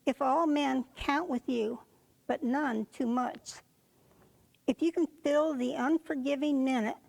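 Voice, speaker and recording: A woman reciting a poem for a reader's theater in deliberate phrases set apart by pauses. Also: high voice, creaky, a little mellow, poor audio. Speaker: poetry reader.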